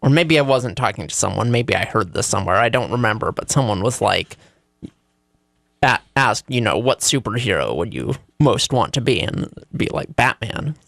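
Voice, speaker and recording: A man's voice: on the low side, lively intonation, normal speed, vocal fry, a bit bright, dry recording, studio quality. A man chatting casually on a radio talk show, often with a chuckle in his conversational delivery. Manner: chuckles often while talking.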